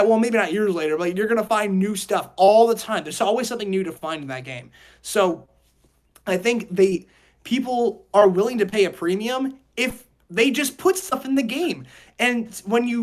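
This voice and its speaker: A young man talking casually and emphatically on a podcast, rambling through his opinions in slangy speech. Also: high-pitched. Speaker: podcast host.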